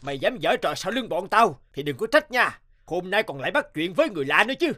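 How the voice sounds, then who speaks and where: A man's voice: sits high, big pitch swings, fast, noisy background. A man voicing a brutal, menacing kidnapper who scolds harshly in a cartoon. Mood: angry, threatening. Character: brutal, menacing male kidnapper bullying a child. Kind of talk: cartoon dubbing. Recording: music behind the voice.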